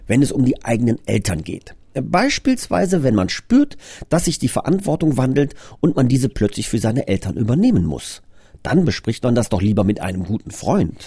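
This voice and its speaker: A man's voice: mid-range voice, big pitch swings, normal speed, mellow, breathy edge, no room sound, studio quality. A man presenting his own audio drama in a scripted but conversational trailer, explaining things earnestly.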